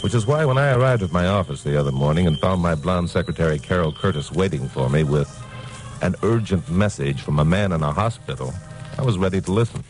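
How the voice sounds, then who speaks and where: An actor playing a wry, hardboiled newscaster detective with a breezy, clipped delivery in old radio drama style. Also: male voice, low voice, lively intonation, fairly quick, a little mellow, poor audio. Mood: wry storytelling. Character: wisecracking hardboiled newscaster detective narrating his case. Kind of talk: 1950s radio detective drama acting and narration. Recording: old radio recording, music behind.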